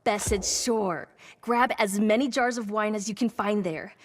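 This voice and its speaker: A woman's voice: mid-range voice, fairly even intonation, unhurried, close to an everyday voice. A woman playing a cheerful, easily flustered villager in a video game, chatty and folksy. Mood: friendly, scheming. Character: cheerful flustered female villager, warm and playful. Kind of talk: video game character acting.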